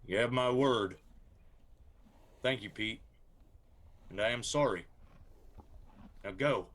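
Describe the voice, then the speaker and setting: An actor playing a decent, weary Union soldier in hiding in a radio drama, plain-spoken and folksy with an Appalachian accent. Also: male voice, medium pitch, takes it slowly, fairly bright tone. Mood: weary, grateful.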